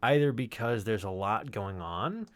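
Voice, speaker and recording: A man's voice: fairly low pitch, lively intonation, unhurried, a bit bright, dry recording. A man giving a casual, rambling walkthrough as a sound designer, with some swearing. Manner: rambling, explanatory, profane. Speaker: sound designer presenting his work.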